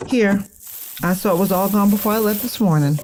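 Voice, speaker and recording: An actress playing a no-nonsense, caring middle-aged mother in a naturalistic drama, speaking briskly and colloquially. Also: mid-range voice, darkish tone, slight background noise, close to an everyday voice. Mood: matter-of-fact, caring. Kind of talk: naturalistic screen drama acting.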